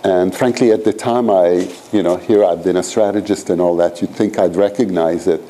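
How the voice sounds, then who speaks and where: A very deep, mellow-voiced man in his sixties, originally from Iran, lecturing a graduate seminar in a lecture room. He rambles casually, leaning on "you know" and "frankly" as he goes. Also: fairly even intonation, moderate pace, rough recording. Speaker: professor leading a seminar.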